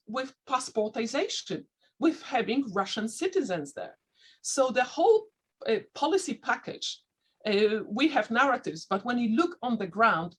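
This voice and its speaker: A woman who learned English as a second language, speaking with a slight Dutch-sounding accent as a panelist in an online discussion, pausing and restarting as she looks for words. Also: on the high side, husky. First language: not English. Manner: thoughtful, searching for words with frequent restarts.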